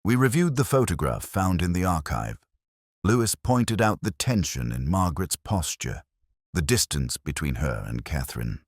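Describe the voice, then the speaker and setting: Ageing, regretful detective narrator, male actor, husky with expressive swings in pitch, brooding and introspective, for a literary crime drama. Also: dry recording, clean studio sound, exaggerated voice. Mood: brooding, melancholy. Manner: measured, brooding, reflective. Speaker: first-person narrator.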